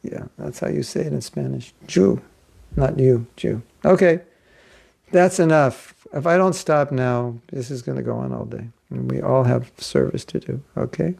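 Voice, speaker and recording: Gravelly, joking spiritual teacher. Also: male voice, medium pitch, moderate pace, darkish tone. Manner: joking, rambling, chatty. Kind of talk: casual online class talk.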